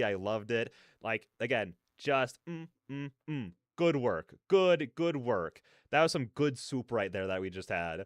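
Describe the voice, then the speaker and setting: A man's voice: mid-range voice, dry recording, studio quality. A man hosting a reaction video with casual, effusive commentary, repeating phrases for emphasis.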